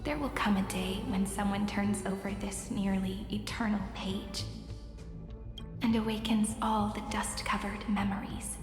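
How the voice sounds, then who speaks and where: An actress playing a gentle, wistful young woman storyteller in a video game, speaking softly and lyrically. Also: medium pitch, steady tone, close to an everyday voice. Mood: wistful, tender. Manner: slow, measured, lyrical. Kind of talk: dramatic video game voice acting. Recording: echoey hall reverb under loud music.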